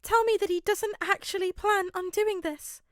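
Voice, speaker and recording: A woman's voice: extremely high pitch, fairly even intonation, normal speed, no echo, studio quality, pitched above the performer's own voice. A woman voicing an anxious school principal, urgent and pleading, in a story reading. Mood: alarmed pleading. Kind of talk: podfic character dialogue.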